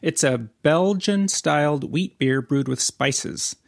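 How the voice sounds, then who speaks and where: Gravelly-voiced man co-hosting a beer chat. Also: on the high side, takes it slowly, no echo, studio quality. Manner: jokey, reads labels aloud. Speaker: podcast co-host. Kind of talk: casual chat.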